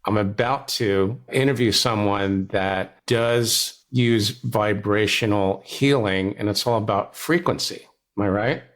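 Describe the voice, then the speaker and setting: A man's voice: on the low side, measured pace, fairly bright tone. A man hosting an interview podcast, asking curious, conversational questions with a light touch of humor. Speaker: podcast host.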